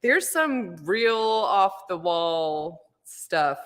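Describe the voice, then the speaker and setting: A woman chatting casually with a low voice, rambling with lots of fillers and laughing often. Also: lively intonation, very slow, in a large hall. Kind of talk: casual chat.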